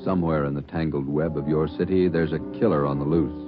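A male announcer opening an old radio crime drama in a grave, measured delivery. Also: very deep voice, steady tone, music in the background. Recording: muffled old radio broadcast.

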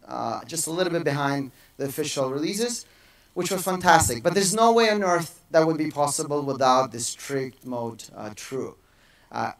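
A man's voice: medium pitch, recorded from a distance, rough recording. A man in his thirties giving a casual technical keynote on a large auditorium stage, with many fillers and restarts. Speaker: conference speaker.

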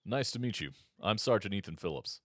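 A man's voice: fairly low pitch, lively intonation, a little fast, fairly bright tone, dry recording. An actor playing a stern, suspicious male army sergeant in a full-cast audiobook, speaking curtly and coldly. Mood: polite. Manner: curt, cold, commanding.

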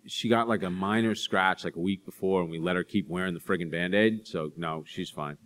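A man in his forties telling animated, self-deprecating stories to an audience on a library stage. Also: low-pitched, brisk. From Boston area. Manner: animated storyteller, acts out voices in anecdotes, self-deprecating. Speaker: author speaking to an audience. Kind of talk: storytelling talk and audience Q&A. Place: library stage in front of a curtain.

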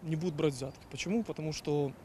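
A man explains his opinion to a street interviewer step by step, pausing on fillers. His voice is a little high, and faint traffic hums in the background. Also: a little mellow. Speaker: passerby. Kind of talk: street vox pop.

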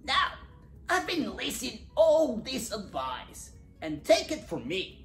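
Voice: medium pitch, huge pitch swings, slow pace, echoey room, soft background music, voice pushed up, full character voice. A storyteller voicing a bossy, bristling echidna from a children's storybook, insistent and exclaiming. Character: bossy, bristling echidna giving cooking advice.

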